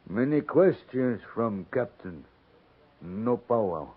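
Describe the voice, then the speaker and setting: Slow-spoken, guarded chief in an old radio western. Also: male voice, medium pitch, animated. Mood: guarded, resentful. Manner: clipped broken English, slow and deliberate. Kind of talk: 1950s radio western acting. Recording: muffled 1950s radio recording.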